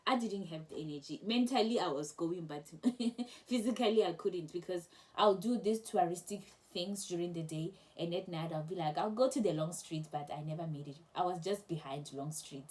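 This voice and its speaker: A young woman casually telling a travel story to camera, chatty and animated, with many restarts. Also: lowish voice, normal speed, few pauses, poor audio. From South Africa. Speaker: travel vlogger.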